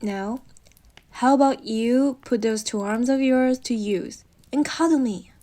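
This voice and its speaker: A woman's voice: on the high side, a little slow, warm tone, lightly acted. A woman voicing a needy, bossy, playful wolf girlfriend in an intimate roleplay audio, pouty and teasing as she speaks straight to the listener. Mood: smug, cuddly. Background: computer keyboard typing.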